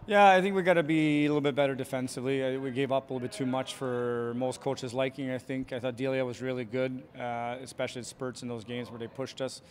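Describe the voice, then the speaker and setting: A man in his forties, a hockey coach answering postgame questions quickly and plainly, with many fillers, into a handheld mic in an arena hallway. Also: medium pitch, fairly even intonation. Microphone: handheld reporter mic.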